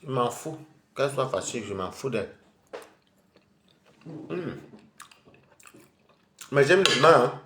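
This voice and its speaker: A man's voice: mid-range voice, animated, slow pace, pauses often, sharp tone, roomy sound, slight background noise. A man with a head cold, chatting casually with viewers on a live stream from home while he eats. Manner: chatty and rambling, addressing viewers directly.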